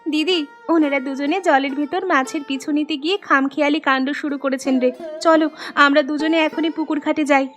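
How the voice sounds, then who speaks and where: A woman voicing an anxious, earnest young married village woman in an animated folk tale. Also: high voice, a little fast, faint music behind, natural voice. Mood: surprised. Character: anxious young married village sisters, devoted to their husbands.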